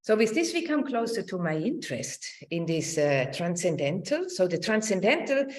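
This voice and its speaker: A middle-aged woman giving an academic lecture over a video call, with a halting, searching delivery that circles back over words. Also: lowish voice, sing-song. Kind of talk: academic lecture with slides and read quotations. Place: indoors on a video call with screen sharing.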